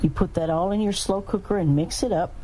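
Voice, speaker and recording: A woman reading out instructions step by step in a measured way, with plain asides. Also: on the low side, mellow, slight background noise, rough recording. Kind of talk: recipe read aloud, step by step.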